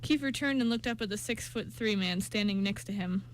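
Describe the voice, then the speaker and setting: A woman's voice: medium pitch, brisk, a little hiss or hum, low-quality recording. A woman reading scripted narration for an amateur radio play, occasionally stumbling over words.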